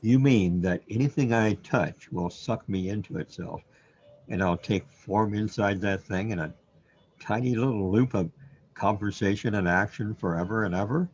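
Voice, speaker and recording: An actor playing a troubled, bewildered man in a surreal sci-fi radio drama, hesitant and halting as he muses aloud. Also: lowish voice, darkish tone, breathy edge, lo-fi sound. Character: troubled, bewildered man overwhelmed by cosmic reality.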